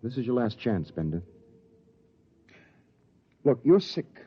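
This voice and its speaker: An actor playing a weary, resolute spaceship captain torn by conscience in a 1950s radio drama, on an old muffled broadcast. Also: male voice, medium pitch, very animated, slow pace, breathy edge, exaggerated voice. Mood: grave, firm.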